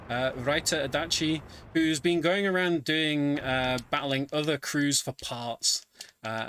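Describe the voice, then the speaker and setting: A man giving casual, conversational live commentary on a video game speedrun, often pausing with ums. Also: on the high side, a bit bright, a little hiss or hum. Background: game music.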